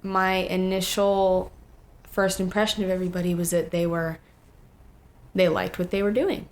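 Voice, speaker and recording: A woman's voice: fairly low pitch, moderate pace. Teenage girl, casual storyteller. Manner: animated retelling with acted-out quotes and frequent "like". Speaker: young singer and songwriter, interview guest.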